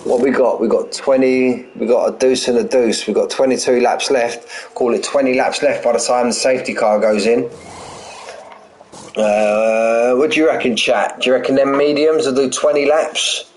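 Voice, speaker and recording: A man's voice: medium pitch, steady tone, normal speed, darkish tone, slight background noise. Chatty man in his forties commentating a race. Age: 40s. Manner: chatty, jokey, trails off and repeats himself. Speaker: sim racing commentator and streamer. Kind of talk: live race commentary mixed with banter with chat.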